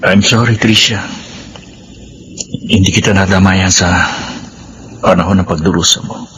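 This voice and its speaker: A deep-voiced guilty boyfriend. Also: male voice, fairly even intonation, a little slow. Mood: apologetic. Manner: hesitant, apologetic. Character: guilty young male boyfriend. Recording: radio drama with faint noise.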